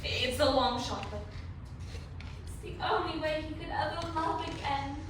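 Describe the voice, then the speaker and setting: A woman's voice: high-pitched, normal speed, natural voice. A woman playing an earnest, devoted pirate crew member in a stage readers theatre performance. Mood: determined. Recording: echoey room, far mic, noisy.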